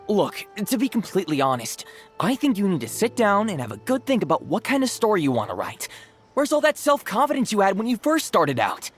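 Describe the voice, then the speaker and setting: An actor playing a skeptical, blunt and exasperated friend in an anime game. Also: male voice, high voice, wildly swooping pitch, moderate pace, a bit bright, faint music behind, heavily acted.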